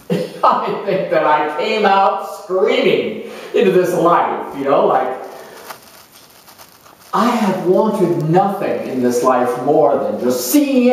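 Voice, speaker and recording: A man's voice: high voice, heavily acted. A man playing an exuberant, reckless, boastful free spirit who refuses to grow up, rambling brashly in a solo dramatized monologue. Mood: exuberant, defiant. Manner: excitable, brash, rambling and unapologetic. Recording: echoey room, mic at a distance.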